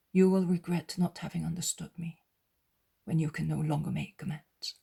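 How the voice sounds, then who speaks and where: Gentle grieving male teacher read by a woman, hushed whisper, low and gravelly, slow. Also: heavily acted.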